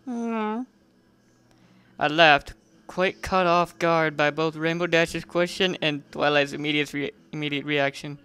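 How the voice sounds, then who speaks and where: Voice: mid-range voice, level tone, normal speed, hardly any pauses, bright, forceful tone, clear, resonant tone, no echo. A person giving an amateur dramatic reading of a first-person story, stumbling over words and correcting mid-sentence, with theatrical emphasis.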